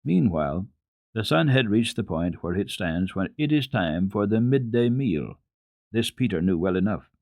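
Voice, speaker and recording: A man with a fairly low voice narrates a children's classic in the manner of a radio storyteller. His delivery is measured and expressive, and the sound is close and dry, with very little room. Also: gravelly, dry recording. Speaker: storyteller, radio program host. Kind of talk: dramatic reading of a children's book.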